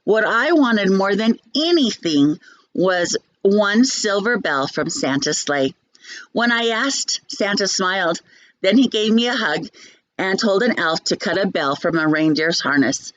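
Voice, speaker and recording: A woman reading a children's storybook aloud like a teacher, in an expressive, measured storytelling manner. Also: medium pitch, no room sound, dull audio.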